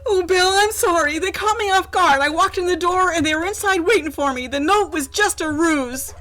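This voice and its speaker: A woman's voice: very high voice, normal speed, fairly bright tone, faint music behind. A woman playing a melodramatic, pleading damsel in distress, a detective's sweetheart, in a campy radio satire. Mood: apologetic. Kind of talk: campy over-the-top radio satire acting.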